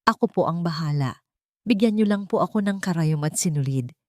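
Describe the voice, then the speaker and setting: A woman's voice: fairly low pitch, expressive, moderate pace, dry recording, studio quality, close to an everyday voice. A woman with a Filipino accent voicing a desperate, humble young mother with a pleading, deferential manner, in a narrated story. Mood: earnest.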